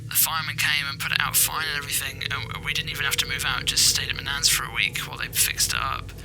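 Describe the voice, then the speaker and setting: A man's voice: mid-range voice, expressive, fast, gravelly, over music, low-quality recording. A man playing a chatty, sheepish local interviewee, rambling colloquially and hesitantly. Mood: uneasy. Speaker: anonymous interviewee. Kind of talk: casual phone interview chat.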